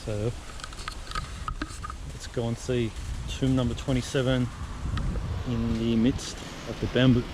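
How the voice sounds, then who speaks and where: Slow-talking man narrating an outdoor walk. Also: mid-range voice, little pitch movement. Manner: chatty, muses aloud while exploring. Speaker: travel vlogger. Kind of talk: casual walking commentary. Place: outdoors in rural countryside. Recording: outdoor camera audio with noise. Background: wind and outdoor ambience.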